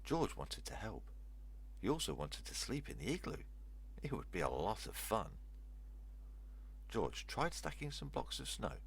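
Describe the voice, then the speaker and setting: An older man reads a children's story aloud in a low voice with a gravelly creak. His intonation moves up and down in a lively storyteller's way. A faint hum sits behind the narration. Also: measured pace, long pauses.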